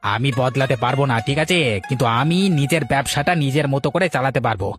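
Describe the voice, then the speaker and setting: A man's voice: medium pitch, quick pace, a bit bright, soft background music, natural voice. A man voicing a proud, short-tempered village food-stall owner in a cartoon, brusque and lecturing. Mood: stubborn, defensive. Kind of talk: Bengali moral cartoon voice acting.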